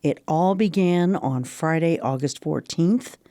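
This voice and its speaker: A woman narrating a radio drama from a script as a measured storyteller of an old crime tale. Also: sits low, mellow. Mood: measured storytelling.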